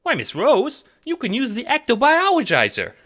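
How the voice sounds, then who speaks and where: A man voicing a sweet, eager, childlike ghost cat sprite in an audio drama, with a creaky voice and an earnest, chirpy, polite manner. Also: extremely high pitch, huge pitch swings, normal speed, bright, forceful tone, full character voice.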